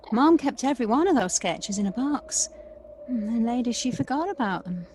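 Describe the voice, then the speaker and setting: A woman's voice: fairly high pitch, lively intonation, moderate pace, a little mellow, a little hiss or hum, close to an everyday voice, low-quality recording. An actress playing an emotional grown woman in an audio play, a wistful sister who envies her sibling. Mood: fond.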